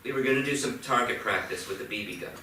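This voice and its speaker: A man acting a defensive, bright-voiced teenage boy. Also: medium pitch, quick pace, lightly acted. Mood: evasive, hesitant. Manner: hesitant, evasive, defensive. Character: defensive, evasive fifteen-year-old boy in trouble. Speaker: actor. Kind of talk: staged play reading. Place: theatre stage. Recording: echoey theatre, distant mic, faint noise.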